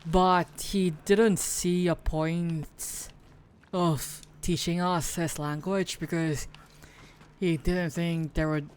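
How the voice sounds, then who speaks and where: Low, slow Filipino woman, smooth voice. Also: fairly even intonation, a little mellow. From Philippines. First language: Tagalog. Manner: hesitant, full of fillers and restarts. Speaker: streamer. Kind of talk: casual chat while playing a game.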